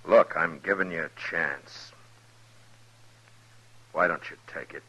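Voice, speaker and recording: Deep-voiced, unflinching old-west marshal. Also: male voice, steady tone, slow, sharp tone, slightly rough, full character voice, rough recording. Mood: stern, steady. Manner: terse, taunting, unflinching, dry. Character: calm, hard, resolute frontier marshal. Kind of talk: 1950s radio western acting. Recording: vintage 1950s radio recording.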